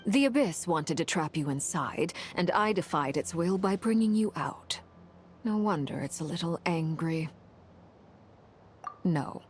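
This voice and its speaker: A woman playing a cool, commanding noblewoman in a video game, composed and measured, with a dry, understated manner. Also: on the low side, a little hiss or hum, close to an everyday voice. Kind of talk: dramatic video game voice acting.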